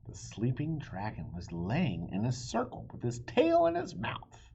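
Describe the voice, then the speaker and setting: A man narrates a children's storybook aloud. His voice is very husky and breathy, with lively, expressive pitch movement. The recording is slightly muffled. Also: measured pace. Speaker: storybook reader.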